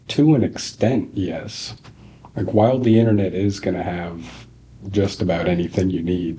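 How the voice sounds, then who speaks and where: A student in his twenties giving measured, reasoned answers in an interview. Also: deep voice, mellow, rough recording. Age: 20s. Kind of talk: casual opinion interview.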